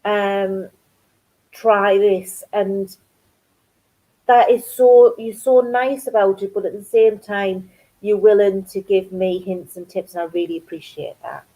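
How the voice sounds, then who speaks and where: A woman's voice: mid-range voice, steady tone, slow pace, warm tone, poor audio. A middle-aged woman with a Geordie accent touched by North Yorkshire, chatting and rambling casually to her viewers as a crafts channel host. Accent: Geordie mixed with North Yorkshire. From North East England. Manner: chatty, rambling, self-deprecating. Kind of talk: casual chat to live viewers.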